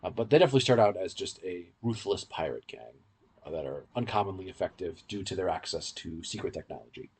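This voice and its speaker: A man's voice: low voice, expressive, quick pace, darkish tone, husky. A man co-hosting a podcast, giving casual, in-depth fan commentary in a rambling, explanatory way. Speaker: podcast co-host.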